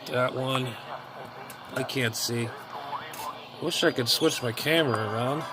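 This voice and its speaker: A man's voice: medium pitch, measured pace. A middle-aged man giving casual, rambling vlog commentary as a radio hobbyist, muttering, repeating himself and trailing off. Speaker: amateur radio hobbyist showing his gear. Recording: noisy recording with steady background noise.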